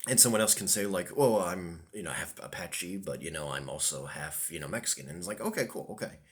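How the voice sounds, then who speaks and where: Deep-voiced rambling man. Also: fairly quick, a bit bright, breathy edge. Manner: hesitant, many ums and restarts. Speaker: vlogger. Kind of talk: casual rambling monologue.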